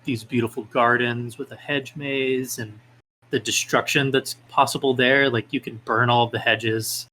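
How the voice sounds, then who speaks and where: Man, bright crisp-edged voice, casual interview guest, hesitant filler-heavy phrasing, faint background noise. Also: mid-range voice, fairly even intonation, unhurried, rough recording. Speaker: podcast guest, independent game developer.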